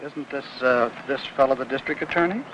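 A man's voice: mid-range voice, a little fast, fairly bright tone, natural voice. An American man acting a grumbling, long-winded, weary middle-aged mailman in a 1950s film, complaining in a rambling, stammering way. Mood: fussy, put-upon. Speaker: actor in a film. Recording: dull, noisy old film soundtrack.

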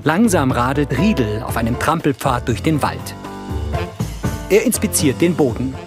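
A man's voice: mid-range voice, lively intonation, moderate pace. A man reading scripted scene descriptions as the narrator of a crime series. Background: music.

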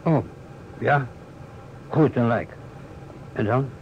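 A man's voice: medium pitch, drawn-out words. A man acting an eager, inquisitive policeman in an old radio play, prompting with hesitant interjections. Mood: eager, puzzled. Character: eager, curious policeman colleague, hanging on every word. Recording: muffled old radio recording, faint noise.

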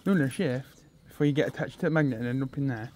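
A man's voice: medium pitch, quick pace, a little mellow, slight background noise. A young man, chatting casually outdoors while magnet fishing with a friend, swearing and calling him bro and mate. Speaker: vlogger. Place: outdoors on a canal towpath.

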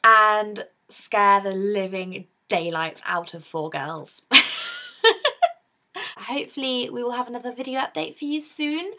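A woman's voice: mid-range voice, animated, a little slow, bright, forceful tone. A young woman with a British accent, talking casually to her webcam from her bedroom, bubbly and chatty with frequent laughs. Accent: British English. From United Kingdom. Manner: bubbly and chatty, laughs often, gestures with hands. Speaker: vlogger raising money for a charity. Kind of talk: casual direct-to-camera appeal. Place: bedroom, indoors. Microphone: webcam in front of her.

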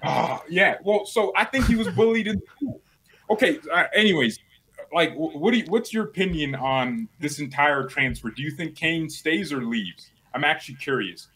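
A man's voice: on the high side, animated, moderate pace, fairly bright tone, a little hiss or hum, low-quality recording. A young man in his twenties, a guest rival fan, bantering playfully and teasing on a video call from a small room at home. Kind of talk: casual banter.

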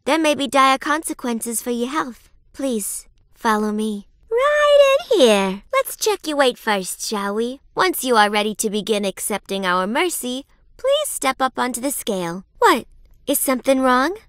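An actress playing a perky but sinister reaper nurse in a video game, sugary and polite with menace underneath. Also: female voice, a little high, huge pitch swings, a little slow, a bit bright, no room sound, clean studio sound, heavily acted. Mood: sweetly professional, menacing. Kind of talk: theatrical video game character acting.

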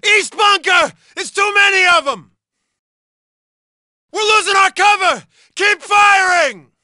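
An actor playing an American infantry soldier in a war game, barking urgent orders under fire. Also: male voice, very high voice, slow pace, pushed and bright, no echo, raised voice, clean studio sound, heavily acted. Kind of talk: shouted game battle dialogue.

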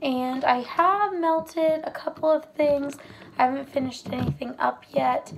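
Hoarse woman, very gravelly, high-pitched, unhurried chatty product-review vlogger. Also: slight background noise, low-quality recording. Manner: chatty, relaxed, many fillers. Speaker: wax melt reviewer. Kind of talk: casual product review vlog. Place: home kitchen, indoors.

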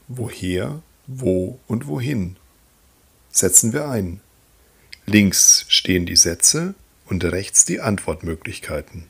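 A man teaching German grammar in a slow scripted voice-over, reading example sentences and repeating them. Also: lowish voice, vocal fry, slightly rough.

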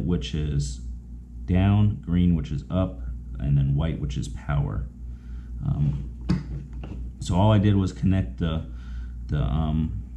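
Low-voiced young man in an echoey garage. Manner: rambling, many fillers and restarts. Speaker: small business owner demonstrating a repair. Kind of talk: casual how-to explanation. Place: garage, indoors. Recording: echoey garage with faint noise.

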